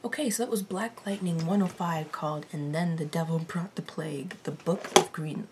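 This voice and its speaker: A raspy-voiced young woman chatting casually to camera from her bedroom, reacting to a TV show and swearing under her breath at small mishaps. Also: low-pitched, moderate pace, noisy background. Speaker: TV reaction vlogger. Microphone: laptop mic.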